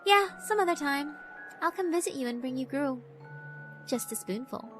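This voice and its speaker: A woman's voice: high voice, expressive, normal speed, a bit bright, faint music behind, voice pushed up. A woman voicing a mischievous, predatory girl spirit in a horror visual novel, teasing and politely condescending. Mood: playful taunting. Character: mischievous, predatory yokai schoolgirl, doll-like, elegant.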